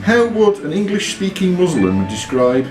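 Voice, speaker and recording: A man's voice: high-pitched, big pitch swings, moderate pace. A man hosting a live quiz, reading out questions and bantering with the players. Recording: echoey room with music.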